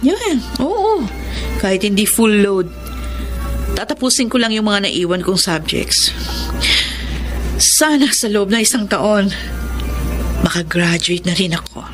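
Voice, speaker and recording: A woman's voice: medium pitch, big pitch swings, takes it slowly, pauses often. A young woman acting a remorseful but hopeful older sister in a radio drama, with a Filipino accent and a heartfelt, confessional delivery. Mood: hopeful, resolved. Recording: music bed under studio dialogue. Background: background music.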